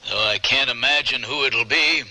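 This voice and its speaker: A stern, exasperated gentleman in a radio play. His voice is extremely forceful and bright, at a middling pitch. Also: moderate pace, poor audio. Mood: aghast. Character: stern, exasperated gentleman warning a reckless woman. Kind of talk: old-time radio drama acting.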